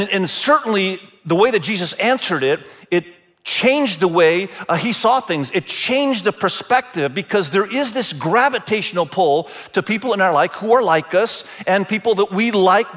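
A man from Indonesia preaching as a pastor, deliberate and repeating phrases for emphasis. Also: high voice, fairly bright tone. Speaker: pastor preaching to a church congregation. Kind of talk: sermon.

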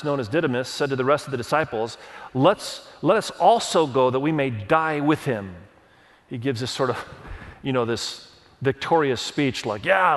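A man's voice: a little high, a bit bright. A middle-aged man who grew up in Indonesia, with Indonesian as his first language, preaching a sermon that mixes personal stories with scripture reading in an earnest storytelling manner. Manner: earnest storytelling, leans on verses, quick restarts. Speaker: pastor. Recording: long hall reverb.

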